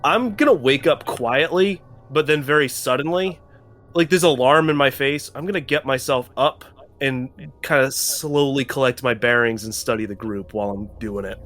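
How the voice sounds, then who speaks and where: A man with a mid-pitched voice chats as a tabletop player. He is casual and irreverent, with faint music playing behind his voice. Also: moderate pace, a bit bright. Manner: irreverent, sweary. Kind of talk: casual chat and character description.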